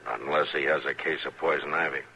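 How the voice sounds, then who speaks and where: A man playing a calm, shrewd, commanding Western marshal with terse, measured, dry delivery in an old radio drama. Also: a bass voice, vocal fry. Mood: grim, measured. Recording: noisy, dull old radio recording.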